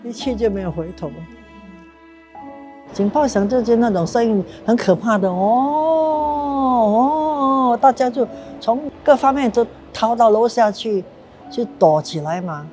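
A woman's voice: fairly high pitch, measured pace, dark tone. A woman in her eighties, a war survivor, telling her story earnestly and with emotion in a documentary interview. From Singapore. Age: 80s. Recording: clear music bed underneath.

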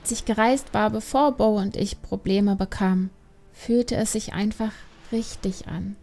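A woman's voice: mid-range voice, steady tone, measured pace, warm tone. A woman playing a restless, defiant young panda adventurer in a dramatised fantasy audiobook, emotional and reproachful. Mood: wistful, frustrated.

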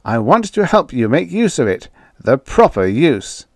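Greedy, desperate hustler, read by a male narrator, pushing hard, raised lively voice, dry sound. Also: mid-range voice, unhurried, no echo, voice pushed up. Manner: pushy, wheedling.

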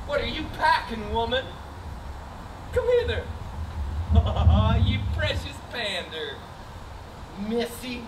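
A man's voice: extremely high pitch, heavily acted. Stage villain prince, played by a young man; bullying, mocking, drawled lines; distant stage recording with background noise. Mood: scornful. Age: young adult. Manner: sneering, threatening, bursts into shouts and mocking laughs. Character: arrogant spoiled young prince, vengeful and bullying. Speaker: stage actor. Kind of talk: live stage Shakespeare acting. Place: large theater stage. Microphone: distant room mic.

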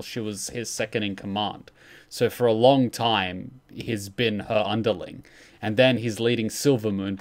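A man's voice: on the low side, a little mellow. A man hosting a reaction stream, giving casual commentary from a room at home.

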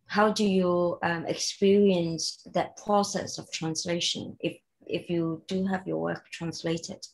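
A young woman moderating a panel on a video call, asking questions gently. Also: fairly low pitch, little pitch movement, takes it slowly, gravelly, rough recording. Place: home living room, indoors.

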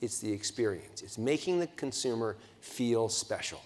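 A man's voice: mid-range voice, lively intonation, moderate pace, darkish tone. A man in his sixties giving a conference keynote from a podium in a large hotel ballroom on a lapel mic, engaging and putting questions to the audience, with frequent restarts. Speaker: keynote speaker.

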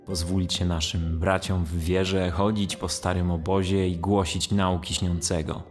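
An actor playing a solemn, confident envoy of a cult, formal and assured, in a fantasy audio drama. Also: male voice, low voice, level tone, a little slow. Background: music.

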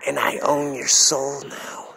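A man's voice: mid-range voice, completely flat delivery, slow, vocal fry, bright, forceful tone, a little hiss or hum, exaggerated voice, low-quality recording. A man voicing a deranged homeless witch, a lewd and menacing female succubus, in an improvised-sounding horror tale. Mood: sinister, hushed. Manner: cackling, wheedling, demanding.

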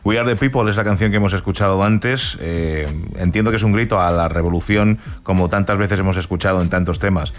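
Un hombre, presentador de radio, que entrevista con preguntas pausadas y algunas vacilaciones. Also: male voice, sits low, soft background music. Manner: measured, probing questions with restarts. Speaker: radio host. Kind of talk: radio interview.

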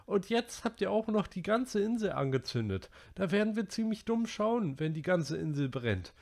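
A man's voice: sing-song, normal speed, creaky, darkish tone, full character voice. A man doing Piggy, a whiny fretful schoolboy. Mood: indignant. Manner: high, whiny and breathless pleading. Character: chubby anxious schoolboy, fretful and indignant.

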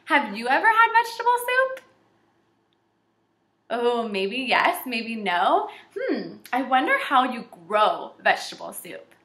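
Female kindergarten teacher, twenties, forceful bright tone, encouraging read-aloud delivery, recorded in an echoey room. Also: a little high, slow pace. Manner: encouraging, animated, addressing young children. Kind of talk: children's read-aloud lesson. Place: small indoor room with shelves and whiteboard.

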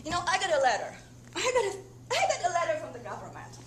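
Actress as an agitated high-voiced bitter woman. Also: huge pitch swings, echoey room, heavily acted. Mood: agitated, bewildered. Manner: halting, repetitive, emotionally dramatic. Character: distressed, bitter Croatian wife and mother, agitated. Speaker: stage actor. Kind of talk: theatrical one-woman monologue. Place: indoor theatre stage, rehearsal. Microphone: camera a few metres away. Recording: noisy theatre camera recording with hum.

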